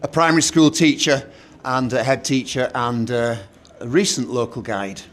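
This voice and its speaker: A middle-aged man with a loud voice, co-hosting an event in a hotel meeting room, telling stories with restarts and dry jokes. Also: mid-range voice, steady tone, moderate pace, slight background noise. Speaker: co-host.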